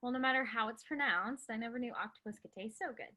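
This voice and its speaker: Bright-voiced, excitable young woman played by an actress. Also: on the high side, quick pace. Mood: tender, gentle. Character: upbeat, excitable, affectionate young pregnant woman. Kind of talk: staged play reading.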